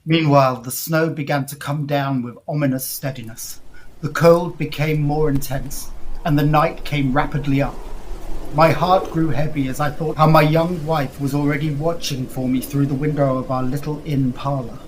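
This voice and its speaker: A man performs an insistent young gentleman huntsman from a Gothic audio drama. His voice is on the high side and his diction is formal and earnest. There is clear background noise around him. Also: steady tone, moderate pace, close to an everyday voice, low-quality recording. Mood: grave, weary. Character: distressed lost huntsman recounting his ordeal, insistent young husband. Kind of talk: audio drama acting, period Gothic storytelling.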